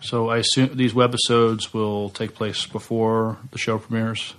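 An American man co-hosting a podcast, giving news in a casual, somewhat halting way. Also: fairly low pitch, flat delivery. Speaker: podcast co-host.

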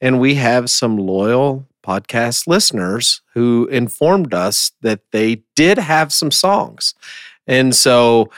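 A man hosts a podcast in an easy conversational style. His voice sits at an average pitch. The sound is dry, with hardly any room in it. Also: expressive, slow pace, fairly bright tone, no room sound. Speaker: podcast host. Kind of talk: casual podcast chat.

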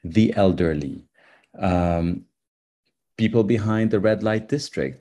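Interview guest from Lebanon, warm dark voice, reflective with frequent fillers. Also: male voice, deep voice, slightly rough. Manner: thoughtful, many fillers. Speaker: guest, nonprofit CEO. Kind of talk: interview answers.

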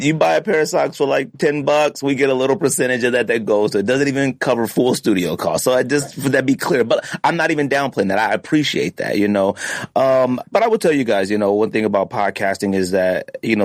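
Rambling American man, low-pitched, close dry sound. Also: no room sound. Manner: rambling and conversational, with frequent "you know". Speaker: podcast host. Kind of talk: casual podcast chat. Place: podcast studio.

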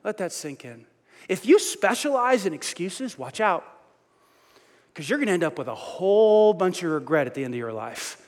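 A middle-aged man preaching a sermon as pastor, lively and self-mocking, often restarting his sentences. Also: high voice, moderate pace, long reverb tail.

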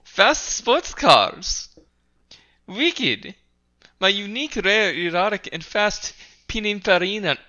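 Bright voice reading in halting steps. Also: medium pitch, animated, muffled recording. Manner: reads haltingly, stumbles on words. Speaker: co-host reading aloud. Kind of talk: casual group chat.

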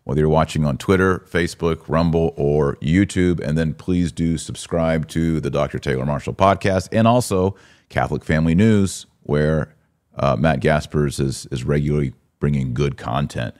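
Low-pitched man hosting a podcast. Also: moderate pace, darkish tone, no echo, studio quality. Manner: opinionated, emphatic, colloquial. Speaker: podcast host. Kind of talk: live commentary and casual discussion.

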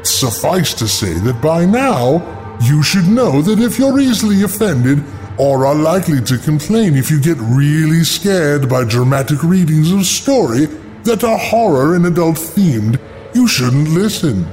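A man's voice: a little high, big pitch swings, unhurried, a little mellow, faint music behind. A man playing a gleeful, mocking ghoul of a horror host, announcing in a theatrical, taunting singsong. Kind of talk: scripted macabre announcement with puns.

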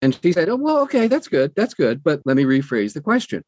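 A man in his sixties chatting as an interview guest, telling personal stories in an animated way and acting out quoted dialogue. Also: on the high side, fairly quick, no room sound. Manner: animated storyteller who acts out quoted dialogue. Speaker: guest, audiobook narrator. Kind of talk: conversational personal storytelling.

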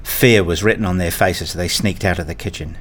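A weary father played by a man tells his own story in a radio play. His voice is fairly low, and his delivery is measured and sombre. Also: a bit bright. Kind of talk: first-person radio play narration and acting.

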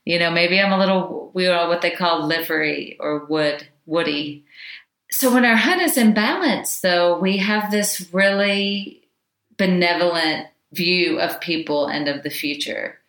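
A woman with a low, loud voice gives an informal talk on Chinese medicine, chatty and full of asides. She is recorded in an echoey room with a roomy sound. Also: measured pace.

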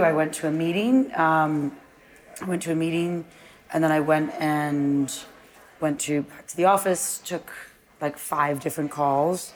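A woman with a notably low, husky voice, talking as a guest before a live audience in an event space. She speaks in long, animated runs and laughs easily. Faint room noise sits under her voice. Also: rough recording. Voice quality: deep husky voice. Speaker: guest, fitness class founder. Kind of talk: live onstage conversation.

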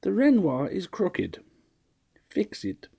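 A man voicing a shrill, fussy, wealthy old woman who nags imperiously in a grating voice. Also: huge pitch swings, slow pace, gravelly, warm tone, slightly rough, full character voice. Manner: imperious, nagging.

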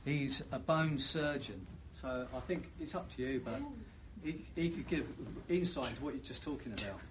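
A man's voice: mid-range voice, moderate pace. Audience member: man, faint noise behind, polite and persuasive. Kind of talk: interjecting from the audience.

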